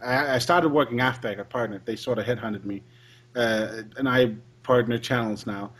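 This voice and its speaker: Husky man explaining at length. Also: mid-range voice, moderate pace, a bit bright. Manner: explanatory, with frequent restarts. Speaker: guest Let's Player. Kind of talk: casual podcast chat.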